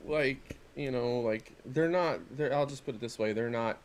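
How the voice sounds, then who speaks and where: A man's voice: mid-range voice, moderate pace, slight background noise. A middle-aged man chatting casually and jokingly as a podcast co-host, in a rambling way. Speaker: podcast co-host and wrestling figure collector. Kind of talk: casual chat in an unboxing video.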